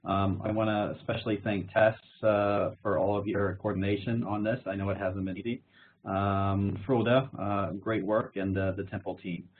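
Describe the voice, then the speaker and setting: A man's voice: lowish voice, steady tone, moderate pace, husky. A man joining a panel remotely by video call, speaking hesitantly with many fillers. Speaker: chamber of commerce director, remote panelist. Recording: tinny call audio, faint noise.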